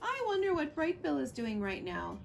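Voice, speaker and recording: A woman's voice: high voice, big pitch swings, normal speed, vocal fry, a little hiss or hum, pitched above the performer's own voice, full character voice. A woman voicing a chatty, wistful young female woodland animal in a storybook reading.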